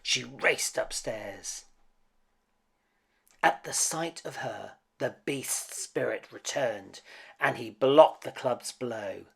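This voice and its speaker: A man's voice: medium pitch, slow pace, vocal fry, husky. A man reading a children's picture book aloud as a dramatic storyteller. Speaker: storybook reader.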